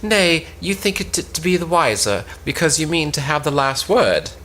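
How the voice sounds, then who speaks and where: A woman reading as a bright, haughty, quarrelsome gentlewoman. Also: low-pitched, lively intonation, normal speed, a little hiss or hum. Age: young adult. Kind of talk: cold read of Elizabethan stage comedy.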